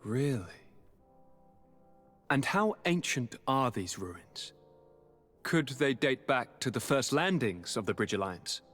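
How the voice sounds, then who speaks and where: An actor playing a gracious, polished aristocratic governor in a video game, with ornate, polite manners. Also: male voice, medium pitch, lively intonation, slow, long pauses, fairly bright tone. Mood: curious. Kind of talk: video game cutscene acting. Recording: background music with brass.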